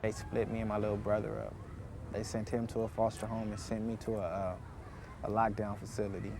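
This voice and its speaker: A man's voice: lowish voice, little pitch movement, fairly quick, vocal fry, noisy background. An American man in his twenties talking candidly about his own life in an interview. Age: 20s. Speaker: interviewee, former foster youth. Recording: music bed under the voice.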